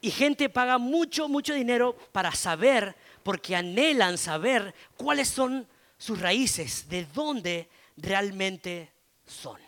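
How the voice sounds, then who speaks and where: Argentine pastor guy, kinda high voice, talks slow, lots of eh and no?. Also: lively intonation, a bit bright. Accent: Argentine Spanish. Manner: conversational, frequent filler "eh" and tag "¿no?". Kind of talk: sermon.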